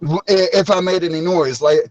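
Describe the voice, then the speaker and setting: A woman with a deep voice talking bluntly and candidly in a casual group chat, swearing freely. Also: brisk, darkish tone, no echo. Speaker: caller. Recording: dull lo-fi call audio.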